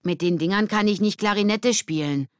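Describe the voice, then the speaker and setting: A woman voicing an imaginative, earnest young schoolgirl in an audiobook, speaking in a dreamy, deliberate way. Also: mid-range voice, no room sound, clean studio sound, pitched above the performer's own voice, lightly acted. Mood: complaining.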